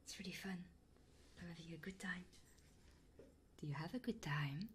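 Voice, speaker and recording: A woman streaming ASMR, chatting casually and playfully with her viewers in a soft, intimate style. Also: lowish voice, normal speed, slight background noise.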